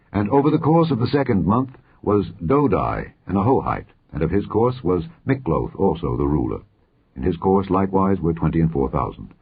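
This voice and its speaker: Male scripture reader, low-pitched, formal, solemn, measured, falling cadences, narrow muffled lo-fi recording. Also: vocal fry, slight background noise. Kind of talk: solemn read-aloud of scripture.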